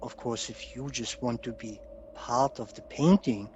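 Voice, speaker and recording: An actor playing a wry, genial hunter from a magical painting in an unhurried, theatrical audio play style. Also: male voice, mid-range voice, steady tone, a little mellow, a little hiss or hum, natural voice. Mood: wry, dreamy.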